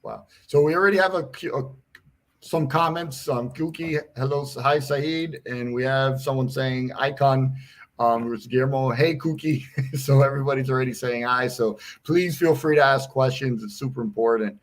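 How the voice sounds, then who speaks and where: A man's voice: medium pitch, moderate pace. A man hosting a livestream talk show, eagerly urging viewers to follow and ask questions. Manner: energetic, repetitive calls to engage. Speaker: show host.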